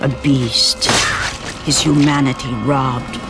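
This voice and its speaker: A man narrating an epic video game story in a grave, measured, scripted dramatic style. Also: medium pitch, little pitch movement. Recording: music underneath the voice.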